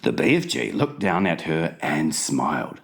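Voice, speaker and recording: A male audiobook narrator reads a children's story with a hugely varied, animated melody. His pace is slow and measured, and the reading is scripted and dramatized. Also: extremely low pitch.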